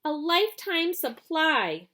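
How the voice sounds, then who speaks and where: A woman's voice: high voice, sing-song, slow, pushed and bright, pitched above the performer's own voice, heavily acted. A woman voicing an envious young girl in a crowd in a storybook reading.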